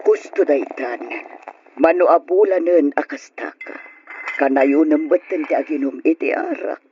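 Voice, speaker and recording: A woman's voice: deep voice, unhurried, mellow. A woman playing a concerned middle-aged older sister in an old radio drama, admonishing in an earnest way. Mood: concerned, chiding. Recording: noisy, muffled old radio recording.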